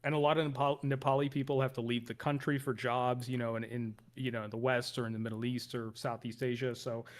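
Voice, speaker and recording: A man's voice: mid-range voice, flat delivery, fast talker, hardly any pauses. A man from northern India talks through politics in a middle-range voice. He is a guest commentator on a livestream, explaining things casually. He often restates and corrects himself.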